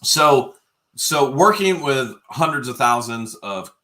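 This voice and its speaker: A man co-hosting a casual livestream, telling stories in an animated, joking way, his voice a little worn from overuse. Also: medium pitch, slow pace. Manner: animated, jokey, quotes people in his stories. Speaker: co-host of a livestream. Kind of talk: casual storytelling chat.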